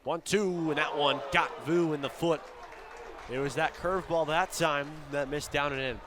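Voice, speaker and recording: A man's voice: a little high, fairly even intonation, normal speed, noisy background. A man calling baseball play-by-play for radio in short, clipped phrases that lift with the action. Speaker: play-by-play commentator. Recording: ballpark crowd and outdoor ambience behind. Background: crowd at an outdoor ballpark.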